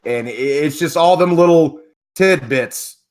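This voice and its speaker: A man's voice: fairly high pitch, unhurried. A man calling in to a podcast, talking casually in a rambling, emphatic way full of swearing. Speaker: caller. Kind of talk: casual call-in chat.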